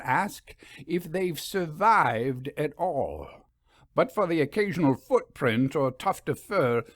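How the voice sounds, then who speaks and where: A man's voice: fairly high pitch, a bit bright, no room sound, clean studio sound, voice pushed up, heavily acted. A man voicing a frank, eager English professor and creature hunter in a storybook, with a scholarly, persuasive manner. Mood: intrigued, suggestive.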